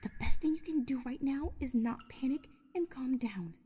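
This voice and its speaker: A woman's voice: sits high, lively intonation, normal speed, warm tone. A woman voicing a frightened young female pony urgently steeling herself in a dramatic fan fiction reading, over music. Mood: anxious, determined. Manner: urgent self-coaching inner voice.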